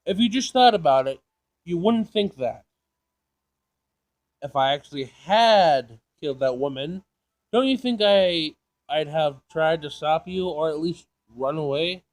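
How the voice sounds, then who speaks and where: A man's voice: fairly high pitch, expressive, unhurried. A man doing a casual let's play, reading game text aloud and reacting in an informal, sassy way. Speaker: gaming video player.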